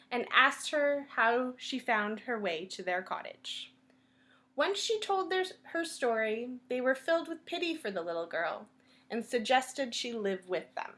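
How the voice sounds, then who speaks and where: A woman reads a fairytale to children in a fairly high voice. Her intonation rises and falls widely, and she goes slowly, pausing often between phrases. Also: a bit bright. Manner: deliberate phrasing with frequent pauses. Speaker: storyteller at a library. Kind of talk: children's fairytale read-aloud.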